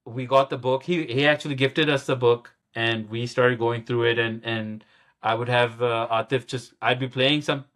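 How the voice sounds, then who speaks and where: A man's voice: medium pitch, steady tone, moderate pace, fairly bright tone. A middle-aged man, a musician being interviewed, recalling his past in casual talk with many restarts and repeated words, often chuckling at himself. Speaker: guest musician.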